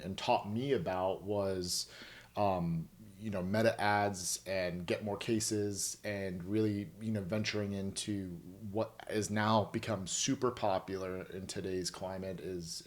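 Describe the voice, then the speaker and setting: Relaxed man hosting a podcast, lowish voice. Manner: relaxed, filler-heavy, leading questions. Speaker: podcast host. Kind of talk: casual interview chat. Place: podcast studio.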